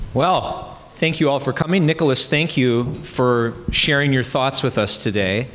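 A man's voice: mid-range voice, a bit bright. Young guy moderating a talk, asks friendly questions, echoey hall with faint hiss. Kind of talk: onstage interview. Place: large event hall with audience. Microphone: handheld mic.

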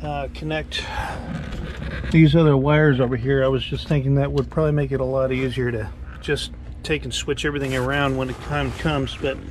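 A man's voice: on the high side, a little mellow, slight background noise. A middle-aged man casually walking through a hands-on project, rambling and thinking aloud as he works. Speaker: hobbyist builder showing his project. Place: small cockpit rig inside a cluttered workshop.

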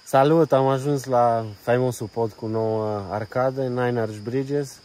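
A man's voice: medium pitch, steady tone, a little mellow. A man explaining like an informal tour guide in a travel vlog, recorded outdoors. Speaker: travel vlogger. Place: outdoors near a jungle railway bridge. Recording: distant mic, noisy outdoors, insects.

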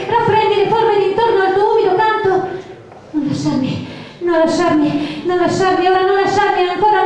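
A woman recites poetry dramatically in an extremely high-pitched voice. Her delivery is rhythmic and incantatory, built on insistent repetition. The recording is drenched in echo. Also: darkish tone. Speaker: poet performer. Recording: very echoey, reverberant sound.

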